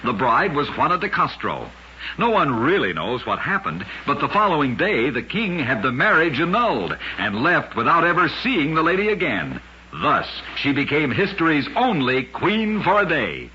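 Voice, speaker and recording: An old lo-fi radio recording with slight noise carries a man telling scripted tales of curiosities. His voice is bright and forceful, with a dramatic, measured announcer's delivery. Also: a little high, sing-song. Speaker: radio show narrator.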